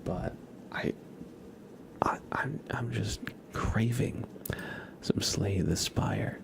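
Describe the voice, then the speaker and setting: A man hosting a casual ASMR livestream, speaking in a soft, hushed, whispery murmur close to the microphone. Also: lowish voice, drawn-out words, gravelly.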